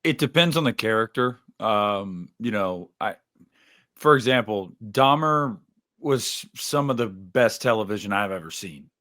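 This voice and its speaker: A man co-hosting a podcast, reasoning through his opinions in a casual, measured way and sometimes voicing hypothetical quotes. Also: fairly low pitch, animated, fairly bright tone. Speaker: podcast co-host.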